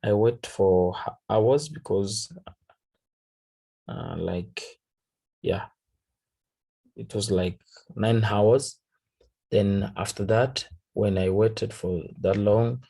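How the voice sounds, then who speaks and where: A man with a Tanzanian accent, a native Swahili speaker, casually talking through a trade recap in halting English, searching for words. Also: on the low side, gravelly, warm tone, slightly rough. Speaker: forex trader. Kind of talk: casual trading recap over a chart.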